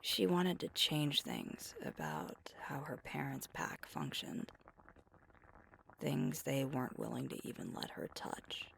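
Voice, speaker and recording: A woman playing a sweet, thoughtful alpha werewolf girlfriend in an intimate, soft-spoken ASMR roleplay, often breathy and musing. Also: a bass voice, slight background noise, full character voice. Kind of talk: ASMR roleplay, intimate one-sided conversation.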